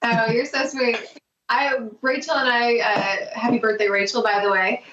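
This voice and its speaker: A woman's voice: fairly high pitch, steady tone, moderate pace, fairly bright tone. Gushing woman on distant, echoey audio. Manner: gushing, grateful, talkative. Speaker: podcast guest, podcaster. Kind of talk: casual interview chat. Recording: distant, roomy, muffled remote audio.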